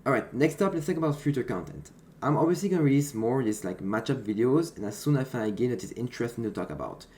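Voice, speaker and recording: Fast-talking French-accented man. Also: medium pitch, expressive, darkish tone. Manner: explanatory, slightly non-native phrasing. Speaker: gaming content creator. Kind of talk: gameplay commentary voice-over. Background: background music.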